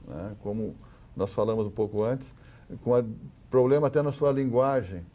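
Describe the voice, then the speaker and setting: Mellow, halting Brazilian man. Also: mid-range voice. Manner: halting, repeats words while explaining. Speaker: panel guest, academic commentator. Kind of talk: intellectual panel discussion.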